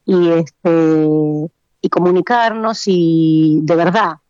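A woman's voice: on the low side, slow pace, a little mellow, dry recording, muffled recording. A middle-aged woman with a Buenos Aires accent, speaking as an interview guest, hesitant and full of fillers and restarts. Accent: Buenos Aires Spanish. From Argentina. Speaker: actress and teacher, interview guest. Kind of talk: relaxed conversational interview.

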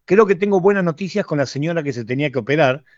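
A man's voice: fairly high pitch, animated, quick pace, no echo, muffled recording. A man with an Argentine accent hosting live radio in a casual, chatty way that often wanders off on asides. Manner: chatty, digressive. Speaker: radio host. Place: radio studio.

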